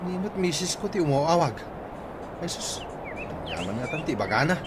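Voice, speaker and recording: A man's voice: a little high, very animated, normal speed, over music. A man playing a weary, timid, complaining husband in an Ilocano comedy radio drama, with plaintive exclamations. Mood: flustered.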